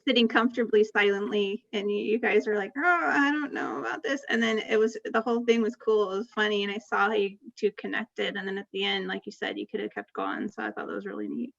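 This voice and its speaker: Quick, gravelly-voiced woman. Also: medium pitch, fairly even intonation, a bit bright, lo-fi sound. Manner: quick, eager chiming in. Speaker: recordist who chimes in.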